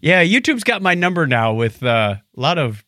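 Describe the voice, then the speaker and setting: A fast-talking man co-hosting a casual science chat, animated and given to acting out little quips. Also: on the high side, no room sound, clean studio sound. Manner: animated, acts out quips in mock voices. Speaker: podcast co-host.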